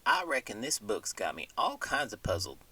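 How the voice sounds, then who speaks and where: A man's voice: lowish voice, huge pitch swings, moderate pace, pushed and bright, slightly rough, far from the mic, soft background music, full character voice. A man voicing a good-natured, puzzled cowboy with an American cowboy drawl and folksy turns of phrase in a scripted bedtime skit. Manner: folksy, colorful cowboy idioms. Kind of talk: scripted comedic bedtime interlude.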